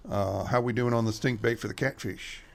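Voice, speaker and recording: A man's voice: mid-range voice, steady tone, normal speed, creaky, natural voice. A man playing a folksy, plain-spoken backwoods moonshiner father with a rural Southern US accent in a radio drama. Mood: matter-of-fact.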